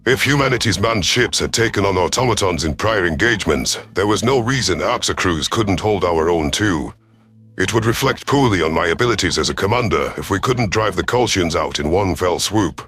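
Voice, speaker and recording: A man reading a first-person science fiction story as a stern alien commander, with formal, measured wording. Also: sits low, animated, a bit bright, soft background music. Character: stern alien reptilian military commander narrating. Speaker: audiobook narrator of science fiction.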